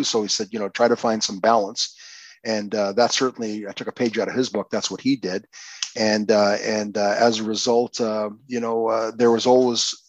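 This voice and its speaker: An older man from Canada, a guest poet, answering in a halting, casual way with many fillers and restarts. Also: lowish voice, no room sound, dull audio. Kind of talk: casual podcast interview.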